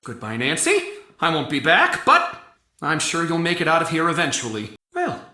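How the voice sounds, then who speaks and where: A woman's voice: deep voice, very animated, moderate pace, bright, forceful tone, reverberant, exaggerated voice. A woman playing a menacing, taunting treasure-hunting villain in a video game, theatrical and gloating. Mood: smug, menacing.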